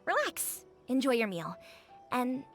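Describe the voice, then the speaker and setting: A woman's voice: high voice, huge pitch swings, a little slow, soft background music, heavily acted. A woman playing a friendly, chatty, hospitable young restaurant keeper in a video game. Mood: confiding, earnest. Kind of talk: video game character acting.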